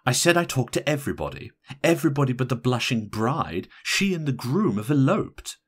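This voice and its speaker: A man's voice: mid-range voice, sing-song, moderate pace, slightly rough, pitched above the performer's own voice. A man voicing a brash, irritable married woman in an audiobook, blunt, emphatic and sarcastic. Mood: sarcastic, flat. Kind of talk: audiobook character voice.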